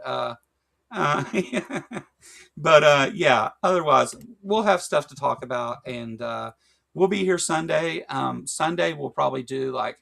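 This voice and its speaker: A man's voice: mid-range voice, vocal fry, a bit bright, husky. A man with a Kentucky accent co-hosting a casual livestream chat, rambling with lots of fillers and jokes. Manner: rambling, filler-heavy, joking. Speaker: podcast co-host.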